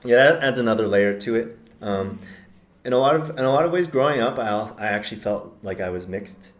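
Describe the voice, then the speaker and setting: A man from the United States talking in a relaxed podcast conversation, thoughtful and measured, often pausing with ums and correcting himself. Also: mid-range voice. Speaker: podcast guest. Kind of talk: casual conversation. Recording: roomy echo.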